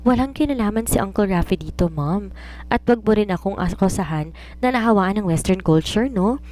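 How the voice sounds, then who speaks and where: A woman's voice: medium pitch, animated, moderate pace, a little mellow, close to an everyday voice. Woman acting confident young daughter, storybook, teasing, playful, independent, Tagalog-English mix. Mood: defensive. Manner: Taglish, playful, reasoning.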